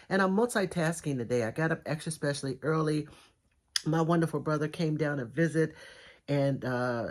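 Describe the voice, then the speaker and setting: Brief: middle-aged woman vlogger, stuffy and raspy, rambling and upbeat. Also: extremely low pitch, a little mellow. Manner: chatty, rambling, enthusiastic. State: congested, raspy voice. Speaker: thrift reseller vlogger. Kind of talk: casual haul video chat.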